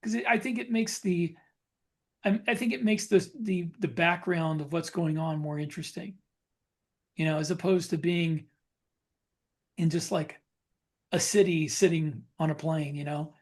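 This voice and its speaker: A man chatting casually as a podcast co-host, thinking aloud in a halting way with repeated phrases. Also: a little high, steady tone, darkish tone. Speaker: podcast co-host and science fiction author.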